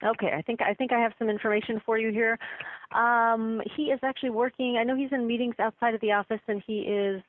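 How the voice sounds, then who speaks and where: A woman's voice: a little high, steady tone, fast talker, no echo. A woman working as an office assistant, handling a business call in a polite, apologetic and deferential way over the telephone. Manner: deferential, apologetic, formal courtesy. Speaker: receptionist or office assistant taking calls. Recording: tinny band-limited phone line.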